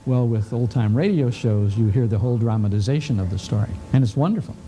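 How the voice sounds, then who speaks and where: A man giving an unscripted interview explanation as a guest, measured, with deliberate pauses and repeated phrases. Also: mid-range voice, warm tone, low-quality recording. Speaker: guest, old-time radio broadcaster.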